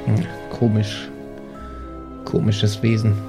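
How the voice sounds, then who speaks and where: A man voicing a prim, kindly but strict lady adventurer in an improvised roleplay audio drama, genteel and gently scolding. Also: fairly low pitch, monotone, takes it slowly, over music, pitched below the performer's own voice. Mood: prim, musing.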